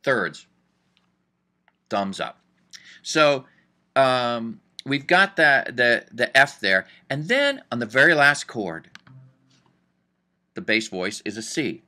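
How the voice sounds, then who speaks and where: A man's voice: medium pitch, sing-song, slow, pauses often, bright, forceful tone. A man teaching music theory in a chatty, upbeat way, thinking aloud as he goes. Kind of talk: casual screen-recorded lesson.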